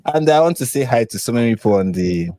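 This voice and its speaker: A man's voice: medium pitch, expressive, quick pace, warm tone, no room sound. A man with a Nigerian accent, hosting a casual live stream and laughing often as he chats. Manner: laughs often, chatty and playful. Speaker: host.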